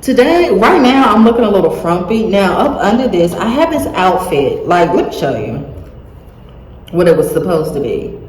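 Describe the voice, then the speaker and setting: A woman chatting casually in a self-filmed vlog, rambling with many fillers, in a monotone and slightly scratchy voice. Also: mid-range voice, normal speed. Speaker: vlogger. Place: indoor room, cold. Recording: echoey room with long reverb, faint ambience.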